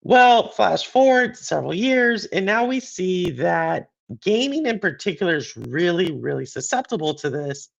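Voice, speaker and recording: A man with a sore throat talks through gaming news in a loose, rambling way, full of fillers and restarts. His voice is hoarse and gravelly, and his pitch rises and falls a lot. The recording sounds a bit dull and lo-fi. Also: big pitch swings, normal speed, few pauses. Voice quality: deeper than usual. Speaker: podcast host and streamer. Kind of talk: casual gaming and business commentary.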